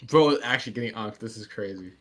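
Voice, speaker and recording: A man's voice: on the low side, fast talker, soft background music. A young man bantering casually on a stream, teasing in slang and saying bro a lot.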